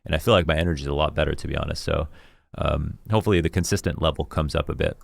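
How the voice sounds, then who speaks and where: A man with a deep voice, an esports commentator candidly reviewing his own work in a rambling, self-critical way. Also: fairly even intonation, rapid-fire, vocal fry, dry recording, clean studio sound. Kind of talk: reflective talk reviewing his own casting.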